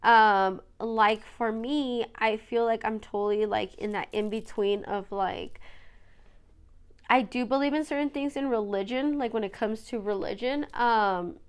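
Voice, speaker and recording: A woman's voice: fairly high pitch, measured pace, clear, resonant tone. A young woman hosting a solo podcast in a casual, rambling way, full of fillers, her nose stuffy from allergies. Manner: rambling, many fillers and "you guys". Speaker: podcast host.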